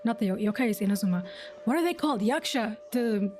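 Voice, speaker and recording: A woman's voice: a little high, expressive, fast talker, a little mellow, faint music behind. A woman streamer giving casual play-along commentary on a video game, musing aloud informally.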